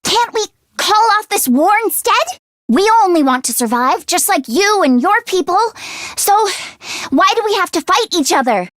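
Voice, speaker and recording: Teenage game girl, very high voice, animated, anxious, earnest, dry close recording. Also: moderate pace, dry recording, heavily acted. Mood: sad, pleading. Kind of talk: English video game voice acting, isolated voice lines.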